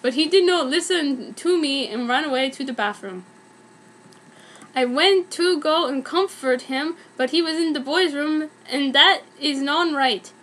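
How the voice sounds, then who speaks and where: High-voiced young woman reading fan fiction. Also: a little slow, fairly bright tone. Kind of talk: dramatic reading of a fan story, played for comedy.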